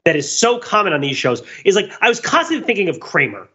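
Male podcast co-host, bright forceful voice, rambling enthusiastic explainer, slightly dull recording. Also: high-pitched, big pitch swings, fast talker. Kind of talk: casual analytical discussion.

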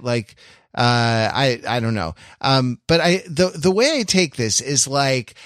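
A man hosting a podcast in casual analytical chat, wordy and wry, halting and circling back as he thinks. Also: mid-range voice, a bit bright, no room sound, clean studio sound. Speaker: podcast host.

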